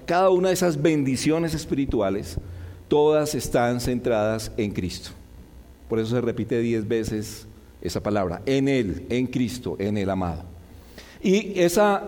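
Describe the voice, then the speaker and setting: Slightly hoarse preacher in a reverberant hall. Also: male voice, on the high side, darkish tone. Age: middle-aged. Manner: didactic, repeats and lists key points in measured phrases. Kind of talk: expository Bible sermon. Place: large church hall.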